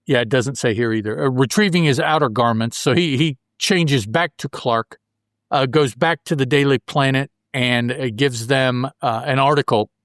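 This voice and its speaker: Guy hosting a casual podcast, medium pitched voice, halting, thinking out loud, no echo. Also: clean studio sound. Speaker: podcast host. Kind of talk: casual comic book recap.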